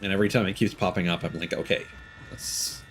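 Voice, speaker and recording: A man's voice: low voice, fairly even intonation, fast, a little hiss or hum. A man streaming a video game, thinking aloud in casual commentary that rambles through frequent ums and restarts.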